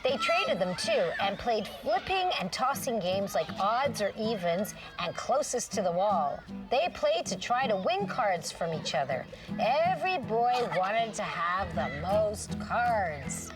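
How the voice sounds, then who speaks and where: A woman's voice: medium pitch, very animated, takes it slowly, distant mic, over music. A woman hosting a children's show, reading a storybook expressively with dramatic little interjections and questions for young listeners.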